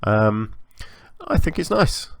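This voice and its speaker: Low, slightly croaky man, casual game commentary. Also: very animated, takes it slowly. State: slightly hoarse from long recording. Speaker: gaming channel host.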